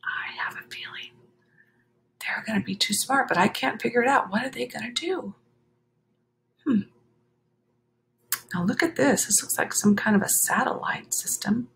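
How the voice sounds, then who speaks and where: A woman reading a children's picture book aloud expressively, with dramatic drawn-out phrasing and chatty asides. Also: on the high side, expressive, gravelly, fairly bright tone, in a whisper. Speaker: children's story reader.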